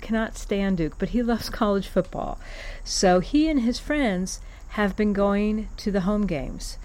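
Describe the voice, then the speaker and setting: A middle-aged woman hosting her own podcast, talking in a relaxed, rambling way with soft whispered asides. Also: lowish voice. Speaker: podcast host. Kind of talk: casual chatty monologue.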